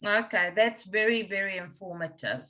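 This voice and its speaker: A South African woman hosting a casual interview, chatty and lively and slipping into Afrikaans now and then. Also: mid-range voice, normal speed. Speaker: interview host.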